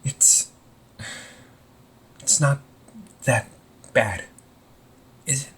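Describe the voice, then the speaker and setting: A man playing an anxious, wry young supervillain in a casual audio roleplay, talking informally with exasperated sighs and halting phrases. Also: medium pitch, fairly bright tone. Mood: defensive, pleading. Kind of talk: amateur audio roleplay, casual conversational acting.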